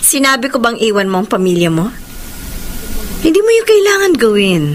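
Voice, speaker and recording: Wealthy controlling temptress, Filipino accent, very animated, insistent and taunting, radio drama, faint noise behind. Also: female voice, on the high side, brisk. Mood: coolly commanding. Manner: taunting, insistent, theatrical delivery. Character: demanding, seductive, controlling wealthy woman pursuing a married man.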